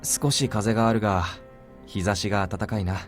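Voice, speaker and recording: An actor playing a protective, gently gruff young man, caring and understated, in a Japanese visual novel. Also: fairly low pitch, steady tone, fast talker, lightly acted. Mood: gentle. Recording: game music behind the voice.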